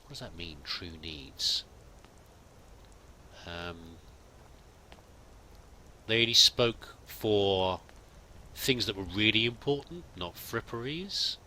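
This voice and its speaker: Bright-voiced man musing over gameplay. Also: on the low side, animated, takes it slowly, pauses often, a little hiss or hum, rough recording. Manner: musing, chatty, reads game text aloud. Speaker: let's play host. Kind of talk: roleplay game commentary.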